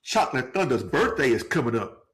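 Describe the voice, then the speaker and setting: A man co-hosting a podcast, teasing his cousin in casual, animated chat. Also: mid-range voice, brisk. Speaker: podcast co-host.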